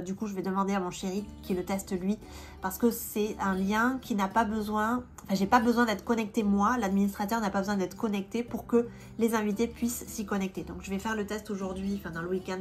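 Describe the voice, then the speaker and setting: A woman's voice: mid-range voice, steady tone, fairly quick. A woman in her thirties with a cold, chatting casually in a vlog, with many fillers and restarts. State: stuffy, has a cold. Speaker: vlogger and independent language teacher.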